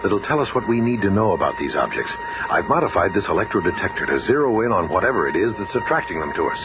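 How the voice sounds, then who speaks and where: Superhero scientist leader, low voice, authoritative, explanatory, dull noisy 1970s radio recording. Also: male voice, sing-song, quick pace. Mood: explaining. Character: level-headed male scientist superhero team leader.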